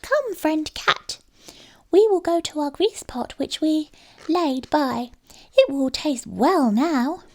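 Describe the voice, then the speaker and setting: A woman's voice: sits high, expressive, slow, voice pushed up, exaggerated voice. A woman voicing a small, trusting but questioning female mouse in a storybook, prim and wordy in manner. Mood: inviting. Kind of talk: storybook character voice.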